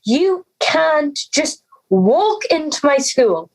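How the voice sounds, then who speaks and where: A woman's voice: high-pitched, very animated, very slow, heavily acted, low-quality recording. A young woman playing an anxious, timid schoolgirl in an audio drama, hesitant and pleading. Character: anxious, timid schoolgirl afraid of being laughed at.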